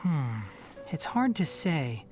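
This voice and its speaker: A woman voicing a composed young scholarly researcher in a game, speaking in a measured, analytical way. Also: low-pitched, sing-song, full character voice. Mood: pensive. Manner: measured, matter-of-fact, analytical. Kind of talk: game dialogue acting. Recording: light music behind the voice.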